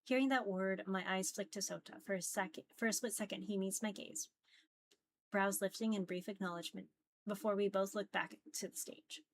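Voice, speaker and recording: A woman's voice: mid-range voice, fairly even intonation, normal speed, slight background noise. A woman streamer reading a visual novel aloud in a casual live style, often stumbling over words and teasing herself.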